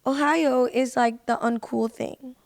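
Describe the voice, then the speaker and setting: A woman's voice: high-pitched, fairly even intonation, a little slow, creaky, no room sound. A woman podcast guest chatting casually in a laid-back way, with slangy hip-hop phrasing. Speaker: guest, musician and social media personality. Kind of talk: casual chat. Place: podcast recording.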